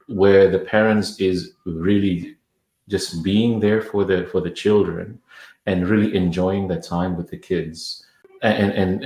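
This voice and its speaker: A man's voice: deep voice, a little mellow, roomy sound. A man from Indonesia, a parenting coach, talking thoughtfully in a podcast interview with many restarts.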